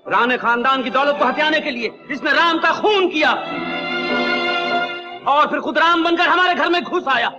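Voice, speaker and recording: A stern patriarch declaims his accusation in a very high, ringing voice. Clear music sits under a dull old film soundtrack. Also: normal speed. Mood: accusing. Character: stern older family patriarch exposing an impostor.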